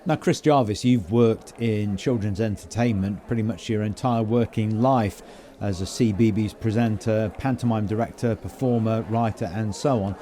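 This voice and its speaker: A man's voice: on the low side, moderate pace, few pauses, dark tone. A man hosting a conversational podcast interview. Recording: busy venue with crowd chatter behind. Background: crowd chatter.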